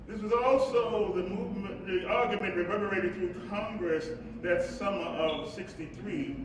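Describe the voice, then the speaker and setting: Civil rights preacher, eloquent, slow oratorical delivery, reflective, stage play, distant echoing hall. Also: male voice, high voice. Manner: measured, deliberate, preacherly cadence. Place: live performance in an echoing hall. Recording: very echoey hall, distant mic, faint noise.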